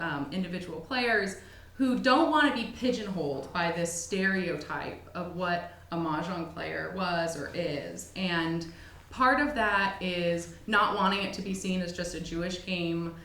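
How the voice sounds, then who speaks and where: A woman explaining thoughtfully and analytically in an interview, in a measured way. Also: fairly low pitch, a bit bright. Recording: echoey room, faint noise.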